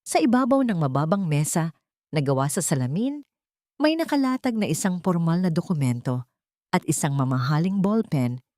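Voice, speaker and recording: A Filipino woman narrates a dramatic audiobook story. Her voice sits fairly low, and the recording is dry and close, with little room sound. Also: big pitch swings, normal speed, warm tone, no room sound.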